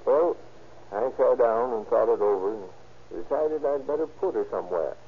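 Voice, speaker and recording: An actor playing a calm, remorseless little man confessing to murder in a matter-of-fact, folksy way in a 1930s radio drama. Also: mid-range voice, normal speed, lightly acted. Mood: calm, dispassionate. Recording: muffled old radio recording, faint noise.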